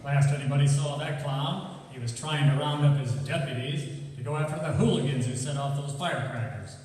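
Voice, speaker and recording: A man's voice: on the high side, fairly quick, a little mellow, natural voice. A man playing a reassuring, plainspoken friend in a stage radio play. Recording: echoey hall, distant mic.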